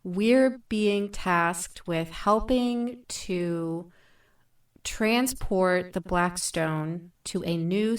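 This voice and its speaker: A woman in a thoughtful podcast discussion, speaking in a deliberate, measured way with emphatic phrasing. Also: on the low side, distant mic.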